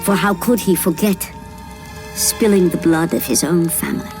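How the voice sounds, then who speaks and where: An actress playing a grave storyteller, narrating a mythic video game tragedy in a solemn, portentous way over music. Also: female voice, on the low side, moderate pace, lightly acted. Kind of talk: dramatic scripted game cutscene narration. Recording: loud music behind the voice.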